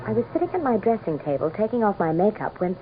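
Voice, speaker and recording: An actress playing a vain, cold, glamorous governor's wife in a radio drama. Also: female voice, mid-range voice, very animated, quick pace, over music, lightly acted. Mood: sombre narrating. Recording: tinny, faintly noisy old radio recording.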